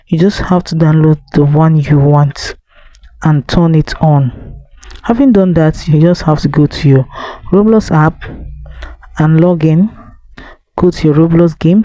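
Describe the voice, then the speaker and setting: A man narrates a how-to guide as its host. His voice sits a little high yet stays dark and relaxed, with no edge to it. He gives each step in a plain, instructional way, and the sound is close and dry. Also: no echo, poor audio. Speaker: tutorial channel host. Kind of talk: simple step-by-step tutorial narration.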